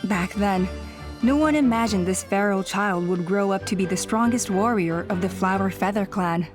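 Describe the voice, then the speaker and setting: Woman acting a gentle, modest elderly clan elder. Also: mid-range voice, moderate pace, lightly acted. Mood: nostalgic. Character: elderly female clan elder, gracious, modest veteran leader. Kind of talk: video game voice acting. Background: music.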